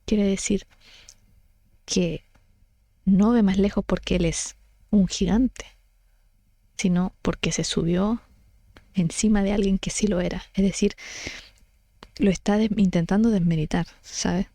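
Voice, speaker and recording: A woman's voice: medium pitch, fairly even intonation, a little slow, pauses often, mellow, no echo. A woman chatting casually as she explains things, thinking aloud and correcting herself in an informal way. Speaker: book study vlogger.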